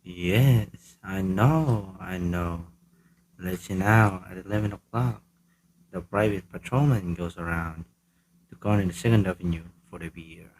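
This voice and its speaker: A man's voice: sits low, a little slow, a little mellow, slightly rough, poor audio. A man playing an unbothered, businesslike hired killer in a radio play, speaking in a matter-of-fact, reassuring way. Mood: casual.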